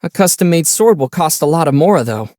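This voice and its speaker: Man voicing a young, lively teasing traveler hero. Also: fairly high pitch, a little fast, dry recording, clean studio sound, lightly acted. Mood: wry, helpful. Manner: teasing, wry. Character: young male traveler hero, calm, playful, gently teasing. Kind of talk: video game voice acting.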